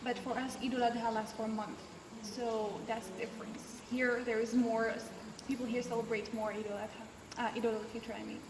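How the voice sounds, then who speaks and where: A young woman in her twenties, a student, answering interview questions in a relaxed way with frequent pauses. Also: a little high, distant mic. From United States. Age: 20s. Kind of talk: casual explanatory interview answers. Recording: echoey hall from afar, background noise.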